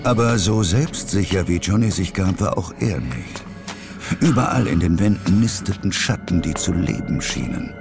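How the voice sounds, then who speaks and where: A man's voice: low-pitched, animated, normal speed, gravelly, over music. A man narrating a suspenseful audio drama as a storyteller.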